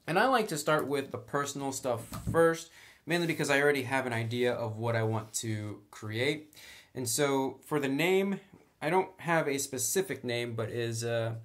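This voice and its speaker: A man's voice: medium pitch, fairly bright tone. Casual man giving a chatty tutorial. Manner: chatty, informal, slangy. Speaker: host of a tabletop game tutorial channel. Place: indoors at a tabletop.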